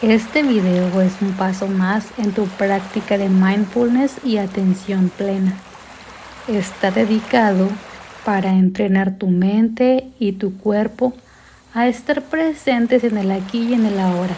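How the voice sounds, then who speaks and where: A woman leads a guided meditation with a soft, mellow voice. She speaks slowly and gently, calming the listener with each instruction. Sea water sounds run clearly behind her. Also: medium pitch, slight background noise. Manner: calm, gentle, soothing, unhurried instruction. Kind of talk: guided mindfulness meditation narration. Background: sea sounds and background music.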